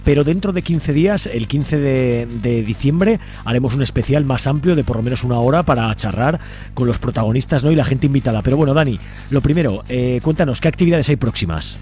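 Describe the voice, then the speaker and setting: A man's voice: medium pitch, expressive, fairly quick, dark tone. A man with an accent from Spain, hosting a conversational radio interview. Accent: Spanish (Spain). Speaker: radio host interviewing a guest.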